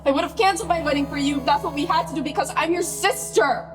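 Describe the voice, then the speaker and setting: A woman's voice: high voice, fast talker, over music, close to an everyday voice. An actress playing a hurt, furious bride confronting her famous sister in a naturalistic radio play, scolding and pleading with emphatic profanity.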